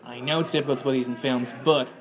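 A man's voice: medium pitch, fairly even intonation, fast. Rambling young man filmmaker. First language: Irish. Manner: rambling, many fillers and restarts. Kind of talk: interview answer.

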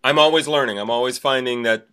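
A man's voice: medium pitch, fast talker, fairly bright tone, smooth. A man talking casually in conversation as a podcast co-host.

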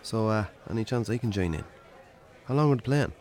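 An actor with an Irish accent playing a stubborn, wry farmer in a radio drama, dry and offhand. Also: male voice, lowish voice, animated, brisk, darkish tone, natural voice. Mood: coaxing. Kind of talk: naturalistic radio drama acting.

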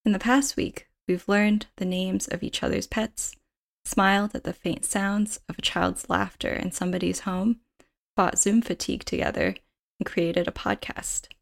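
A woman reading scripted podcast narration in a measured, reflective way. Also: mid-range voice, fairly even intonation, creaky.

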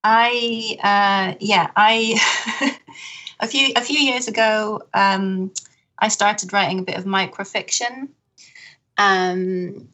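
A woman who translates books, answering modestly and hesitantly, with many pauses and nervous laughs. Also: mid-range voice, steady tone, pushed and bright, low-quality recording. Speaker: literary translator. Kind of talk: conversational interview answers.

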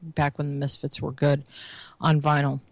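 A woman in her forties chatting casually, thinking out loud and explaining her views. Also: low-pitched, monotone, normal speed, dry recording. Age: 40s. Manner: musing, explanatory. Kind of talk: casual chat.

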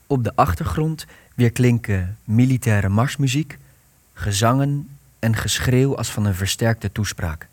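An actor as a sober narrator, reading stage directions for a Dutch radio play in a measured, formal way. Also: male voice, mid-range voice, darkish tone, no echo, close to an everyday voice. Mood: neutral.

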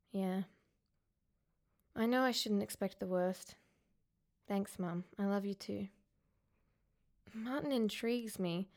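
An actress playing an earnest, caring school counsellor in a radio drama, talking in a gentle, confiding way as if on a phone call. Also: female voice, mid-range voice, close to an everyday voice.

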